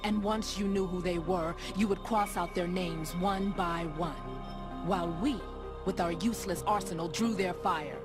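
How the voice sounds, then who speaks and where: A cool and defiant mercenary woman from a video game, played by an actress. She delivers lines with sardonic, cutting suspicion. Very loud music runs behind the dialogue. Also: on the low side, normal speed, natural voice. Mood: accusing. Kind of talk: dramatic video game cutscene acting.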